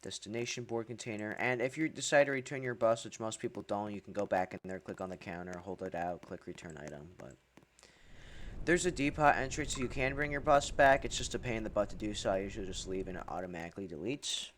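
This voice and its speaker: A man's voice: fairly low pitch, fast, a little hiss or hum. A young man from Hungary giving a casual game tutorial voice-over, chatty, with wry asides and frequent ums. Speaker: gaming tutorial creator.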